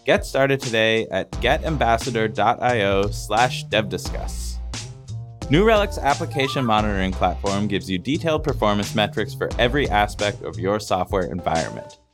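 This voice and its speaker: A man's voice: on the low side, animated, normal speed. A man reading scripted podcast sponsor messages as the show's host. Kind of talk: scripted ad read. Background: guitar music.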